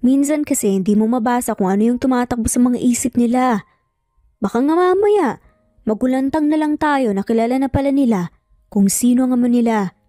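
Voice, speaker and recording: A woman's voice: fairly high pitch, fairly quick, dark tone, dry recording, studio quality, faint music behind, natural voice. A woman voicing a caring, teasing Filipino older sister in a story, chatty and confiding.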